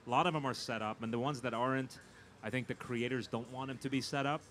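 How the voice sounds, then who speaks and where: A man's voice: mid-range voice, fairly even intonation, fast talker, fairly bright tone. A man with a mid-range voice speaks as a producer guest in a panel interview. He is emphatic and conversational, doubling back on words to press a point. Light event noise sits behind him. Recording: live event floor with background noise.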